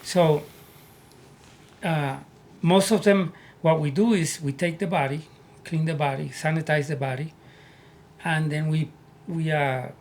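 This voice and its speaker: A man from Puerto Rico with a Puerto Rican Spanish accent, telling his life story as an interview guest in earnest, halting English. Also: on the high side, steady tone, a little mellow, slight background noise. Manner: earnest, repeats words as he searches for English. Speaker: guest, army veteran.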